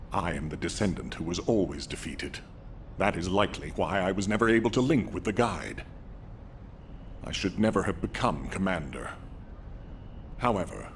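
An actor playing a grave, remorseful but resolute military commander in a video game, speaking solemnly and formally, with deliberate delivery. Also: male voice, low-pitched, a little hiss or hum. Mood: resolute, commanding. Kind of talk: scripted video game drama acting.

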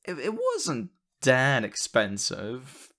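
A man playing an excitable, sappy young boyfriend in an audio roleplay, talking in a gushing, hesitant, stammering way into a close mic. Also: medium pitch, takes it slowly, heavily acted. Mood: excited, flustered. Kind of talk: ASMR audio roleplay, conversational acting. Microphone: close condenser microphone.

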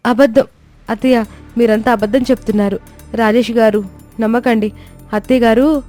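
Woman acting a pleading, wronged young daughter-in-law, raised pitch. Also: fairly even intonation, normal speed, mellow, faint music behind, lightly acted. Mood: desperate pleading. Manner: pleading, hurt, earnest. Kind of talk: dramatised moral-story cartoon dialogue.

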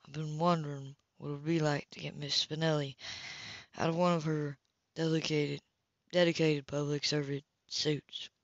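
A woman's voice: deep voice, little pitch movement, moderate pace, dry recording, voice pushed down. A woman reading the part of a hot-tempered, possessive male lover, direct and seductive, in a romance audiobook. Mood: seductive, intense. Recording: dull lo-fi home recording.

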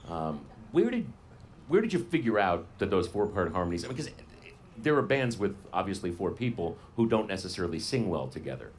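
A low-pitched man hosting live radio from an outdoor festival stage, ribbing the band with playful banter. His pitch swings a lot as he jokes, and a faint hiss of outdoor ambience sits under the stand mic. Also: sing-song, a little fast. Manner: jokey, teasing banter. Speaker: radio host. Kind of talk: live on-air festival interview.

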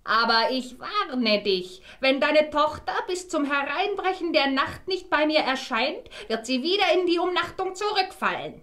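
An actress playing a wicked old sorceress in a fairy-tale radio play, theatrical, with gloating, drawn-out menace. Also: female voice, high-pitched, animated, a bit bright. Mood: threatening.

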